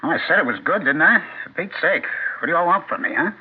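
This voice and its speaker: Thin vintage radio sound carries a touchy, troubled businessman. He talks quickly and defensively, his pitch jumping about in irritation. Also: lively intonation, husky. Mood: bitter, exasperated. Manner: edgy, defensive, snapping. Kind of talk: 1970s radio drama acting. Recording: narrow-band 1970s radio broadcast.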